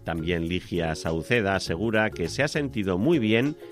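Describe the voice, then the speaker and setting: A man reading a scripted news report in a measured, formal manner. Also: sits low, animated, soft background music.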